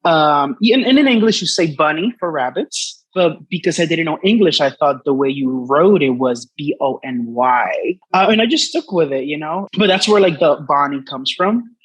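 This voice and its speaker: A man's voice: a little high, lively intonation, normal speed, hardly any pauses, breathy edge, no room sound, poor audio. A man in his twenties from the Dominican Republic, a native Spanish speaker, chatting casually as an interview guest and often padding with "like" and "you know". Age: 20s. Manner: hesitant, frequent "like" and "you know". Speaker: artist guest.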